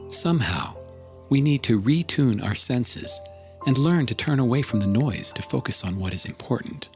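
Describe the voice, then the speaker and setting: A man giving a contemplative scripted reading of prose, solemn and measured, with long pauses. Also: medium pitch, expressive, a little mellow. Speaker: author reading own book. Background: music.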